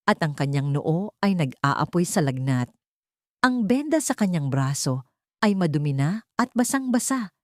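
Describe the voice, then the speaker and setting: A woman with a slightly low voice narrates a dramatic love story. Her delivery is scripted audiobook storytelling. The sound is dry, with little room echo. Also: a little mellow.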